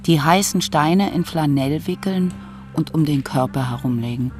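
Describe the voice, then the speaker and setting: An actor narrating a medieval tale in a sober, reflective way for a radio drama. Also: male voice, a little high, moderate pace, faint music behind, lightly acted.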